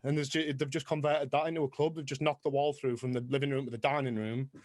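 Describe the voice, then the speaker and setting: Fast-talking Teesside man, dry close sound. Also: medium pitch, little pitch movement, no room sound. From Middlesbrough, England. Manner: rapid, chatty and self-mocking, laughs often. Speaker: guest, house music producer. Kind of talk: casual interview chat.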